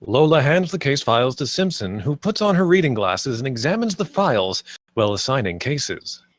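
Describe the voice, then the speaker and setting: A man's voice: mid-range voice, sing-song, normal speed, dry recording, clean studio sound. A man reading stage directions as the narrator of a script table read.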